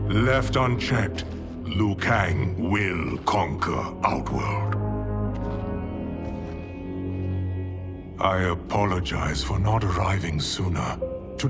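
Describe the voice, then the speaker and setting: An actor playing a scheming, ambitious warlord in a video game, solemn, formal and self-righteous over dramatic music. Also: male voice, sits low. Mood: grave.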